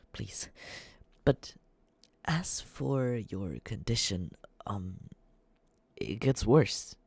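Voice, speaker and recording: A man playing a nervous, lovestruck young male stalker in an ASMR roleplay, breathy and halting, stammering earnestly as he softly reassures the listener. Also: fairly low pitch, no room sound, full character voice. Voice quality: breathy, whispery. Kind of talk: ASMR roleplay, soft scripted monologue to the listener.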